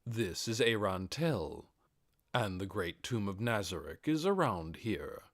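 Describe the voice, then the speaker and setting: Man voicing low, deliberate undead overlord. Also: big pitch swings, no echo, clean studio sound, heavily acted. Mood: measured, explaining. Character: commanding male undead overlord, measured and explanatory.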